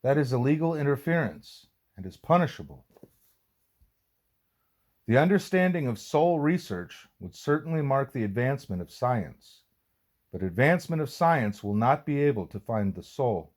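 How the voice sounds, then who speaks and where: A man reading a formal religious text aloud as audiobook narration, with deliberate, measured phrasing. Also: medium pitch, big pitch swings, a little mellow. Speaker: reader of a spiritual text.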